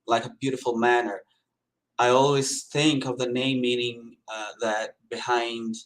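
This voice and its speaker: A Brazilian painter with a Portuguese accent, speaking hesitantly with many fillers in a live-stream interview. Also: male voice, medium pitch, poor audio. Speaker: painter guest.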